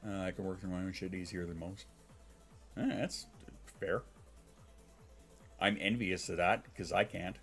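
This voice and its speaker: A congested man with a lowish voice, talking loosely to his stream chat from home. He rambles, swears freely and sniffles between sentences. Soft music plays faintly behind him. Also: steady tone, unhurried, long pauses. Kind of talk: casual live-stream chat.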